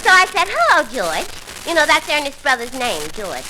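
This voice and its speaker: High-pitched, dim, chatty New York gal. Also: female voice, wildly swooping pitch, normal speed. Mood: rambling, clueless. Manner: rambling, literal-minded, ungrammatical. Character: dim, chatty working-class young woman, tavern owner's daughter. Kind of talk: 1940s radio comedy acting. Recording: noisy vintage 1940s radio broadcast.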